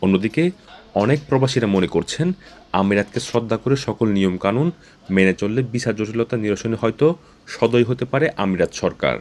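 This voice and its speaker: A man with a slightly low voice narrates a news feature. He reads from a script as a reporter, in formal broadcast wording. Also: animated, normal speed, a little mellow.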